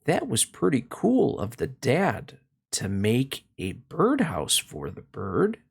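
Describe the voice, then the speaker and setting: A man hosting a read-along, reading a children's picture book aloud in a slow, expressive way and then chatting about it. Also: creaky, breathy edge. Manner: slow, expressive storytelling with swooping pitch.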